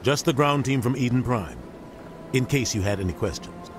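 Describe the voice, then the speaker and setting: An actor playing a composed, authoritative navy captain in a video game, measured and businesslike. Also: male voice, medium pitch, expressive, over music, natural voice.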